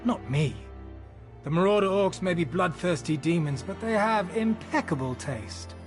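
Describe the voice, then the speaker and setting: An actor playing a swaggering, wry mercenary leader in a video game, with a theatrical, boastful manner. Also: male voice, high-pitched, expressive, moderate pace, soft background music. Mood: breezy, amused. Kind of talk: video game cutscene acting.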